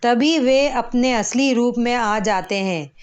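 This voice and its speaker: A woman storyteller reading a scripted children's moral tale, measured and phrase by phrase. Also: a little high, clear, resonant tone.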